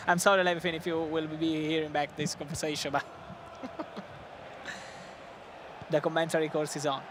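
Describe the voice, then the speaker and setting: Excitable Italian-accented male co-commentator, high-pitched voice, noise audible behind him. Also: moderate pace, pauses often. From Italy. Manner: excitable, laughs often, non-native phrasing. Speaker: esports co-commentator. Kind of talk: live esports commentary.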